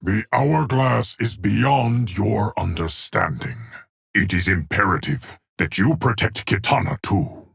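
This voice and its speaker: Game casting: an ageless, solemn keeper of time, low rumbling gravel, dry close sound, measured formal pronouncements. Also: male voice, lively intonation, a bit bright, dry recording, exaggerated voice. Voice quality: deep, gravelly, husky. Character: solemn ageless immortal male guardian of time. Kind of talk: video game character voice lines.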